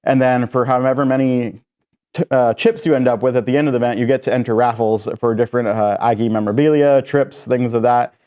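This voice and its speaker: A man's voice: medium pitch, steady tone, a little fast, mellow, clear, resonant tone. A man, a guest on a sports radio show, upbeat and promotional about a fundraiser. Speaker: guest, alumni club president-elect.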